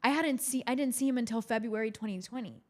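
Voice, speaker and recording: A woman's voice: fairly high pitch, fast. A young adult woman from Chile co-hosting a podcast, telling personal stories in a casual, conversational way. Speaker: podcast co-host.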